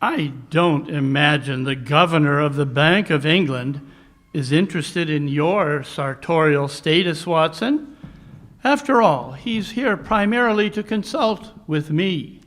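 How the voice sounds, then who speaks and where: A man playing a cool, commanding detective in a live 1940s-style radio mystery, speaking formally and deliberately with dry superiority. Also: fairly high pitch, fairly bright tone. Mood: dryly superior.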